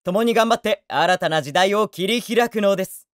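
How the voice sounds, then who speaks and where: A man voicing a gentle, eccentric young idol teacher in an anime voice drama, speaking courteously like a teacher. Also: high voice, no room sound, clean studio sound, close to an everyday voice. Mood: encouraging. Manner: courteous, measured teacherly address.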